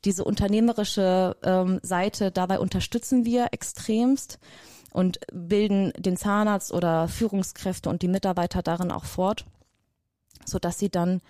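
A woman from Germany speaks as an interview guest, with a mellow, soft-edged tone. She talks with lively emphasis and likes to repeat words to stress them. The sound is dry and close, with no room echo. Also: mid-range voice, a little slow. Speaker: guest, personnel developer. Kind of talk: casual interview talk.

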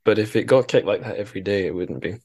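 A man's voice: lowish voice, fast talker, darkish tone, slightly rough. A man hosting a podcast in a casual book discussion, chatty and wry, rambling as he goes. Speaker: podcast host.

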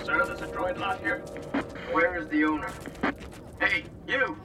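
A man's voice: high voice, a little slow, vocal fry. A man playing a brusque Imperial soldier in a radio drama, curt and commanding. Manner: barking, curt. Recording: distant voice over music.

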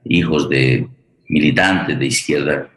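A man's voice: rumbling low, slow, a bit bright. A man with a Colombian accent hosting an online forum, speaking unscripted and searching for his words. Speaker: host of an online radio theatre forum. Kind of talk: unscripted spoken introduction to a live programme. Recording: roomy, echoing sound.

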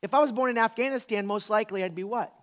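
High-voiced man in his forties, preaching casually. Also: very fast. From the American South. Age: 40s. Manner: informal, emphatic, repeats phrases for effect. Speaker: pastor. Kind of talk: conversational sermon.

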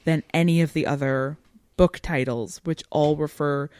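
A woman podcast host with a low-pitched voice talks to her audience as a co-host. The sound is dry, with very little room around her. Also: steady tone, normal speed, a little mellow, no echo. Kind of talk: podcast discussion and sign-off.

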